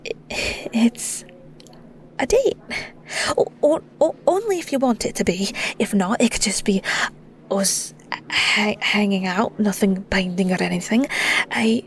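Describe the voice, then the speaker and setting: A woman's voice: mid-range voice, lively intonation, a little slow, a little hiss or hum. A woman with a Scottish accent playing a shy, sweet young shepherdess in an audio roleplay, stammering and rambling with nervous giggles. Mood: flustered, giddy. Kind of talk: audio roleplay acting, intimate.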